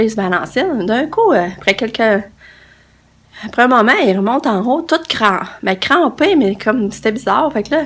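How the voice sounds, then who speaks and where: A woman's voice: medium pitch, a little hiss or hum. A young woman with a Québécois French accent, vlogging casually to a handheld phone. She is tired and stuffed up with a cold, and she rambles with many fillers and restarts. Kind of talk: casual vlog chat to camera. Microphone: handheld phone camera.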